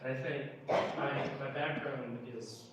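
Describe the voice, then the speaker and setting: A man's voice: mid-range voice, steady tone, slow. Man at a very echoey town hall. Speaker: political candidate at a town hall. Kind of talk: informal town hall remarks. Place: indoor town hall meeting room. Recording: echoey room, distant mic, faint noise.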